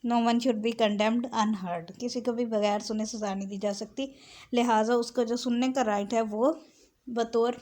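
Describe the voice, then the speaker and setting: A woman's voice: a little high, fairly even intonation, measured pace, a little mellow. A woman with a Pakistani accent giving an explanatory law lesson, switching between Urdu and English as she reads out and explains. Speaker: law lecturer. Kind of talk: explanatory lesson, reading out and explaining legal text.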